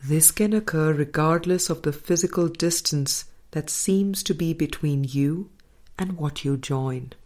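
Slow, low-voiced woman reading aloud. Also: darkish tone. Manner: measured, deliberate, with phrase-by-phrase pauses. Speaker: audiobook reader. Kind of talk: reading a spiritual book aloud.

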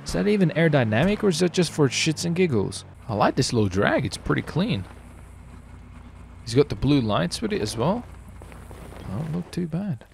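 A man with a creaky, gravelly voice chats casually through a video game roleplay. He swears and exclaims freely, over faint noise of car engines. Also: a little high, big pitch swings, normal speed, a little mellow. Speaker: gaming streamer.

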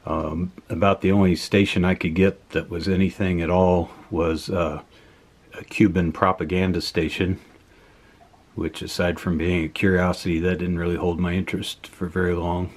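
A man giving a casual, unscripted hands-on demonstration in a matter-of-fact, measured way at an indoor workbench. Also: deep voice, flat delivery. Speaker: host of an electronics teardown video.

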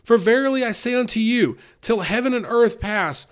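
A man's voice: extremely high pitch, lively intonation, normal speed, clear, resonant tone. A man preaching an informal sermon in an earnest way, with many fillers and restarts.

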